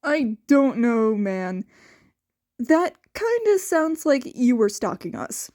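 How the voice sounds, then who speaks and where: A woman's voice: a little high, sing-song, slow, a little mellow. A woman voicing a wary, confused teenage boy in a guarded, challenging manner for an audio story. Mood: suspicious.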